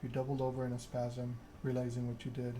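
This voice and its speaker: Male ASMR narrator for a text-driven game, very even pitch, clearly noisy ambient background. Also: fast talker. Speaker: streamer reading a game aloud.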